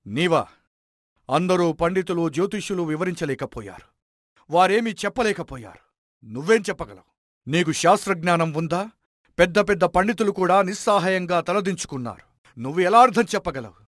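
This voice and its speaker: An anxious king in a moral tale, voiced by a man. His pitch is high for a male voice and he speaks formally, full of doubt and questions. The recording is dry and close. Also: expressive, brisk, no room sound. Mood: doubtful. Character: anxious king troubled by a nightmare, doubting, searching for hope. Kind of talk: dramatized moral storytelling.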